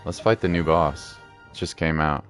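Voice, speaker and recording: A male game streamer with an extremely low voice, chatting casually as he plays. He is playful and repeats himself, with faint music in the background. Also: steady tone, moderate pace. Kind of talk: casual gameplay commentary.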